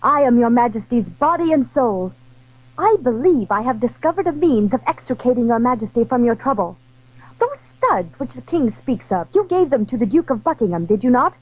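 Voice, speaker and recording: A woman's voice: on the high side, sing-song, moderate pace, dry recording. A woman playing an earnest, emotional young lady of a royal household in a theatrical audio drama. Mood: devoted, reassuring. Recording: slightly dull 1980s audio drama recording.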